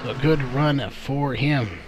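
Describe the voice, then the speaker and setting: A man calling qualifying times as a race commentator, his voice going hoarse. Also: mid-range voice, measured pace, a little hiss or hum, rough recording. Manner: steady, methodical calling of lap times. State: losing his voice. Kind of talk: play-by-play commentary.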